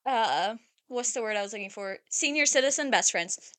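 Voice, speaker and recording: A woman in her twenties talks loosely and bluntly, full of fillers and cheerful swearing. Her voice sits a little high and has a bright, forward edge. She tells stories in an animated, offhand way. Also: moderate pace. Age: 20s. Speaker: podcast co-host. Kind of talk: casual chat.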